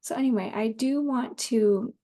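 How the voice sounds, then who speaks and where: A woman's voice: fairly high pitch, normal speed, mellow. A woman in her thirties hosting a casual solo podcast, talking in an informal, rambling way. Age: 30s. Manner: informal, rambling, laughs easily, occasional swearing. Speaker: podcast host.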